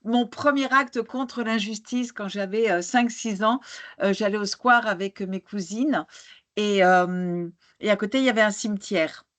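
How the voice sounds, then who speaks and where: A woman's voice: medium pitch, measured pace, fairly bright tone. A woman speaking on a conference panel who grew up abroad. She talks with passion but hesitates, restarting often. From born in Algeria, grew up in Argentina. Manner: hesitant, many restarts, passionate about injustice. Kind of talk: panel discussion answer.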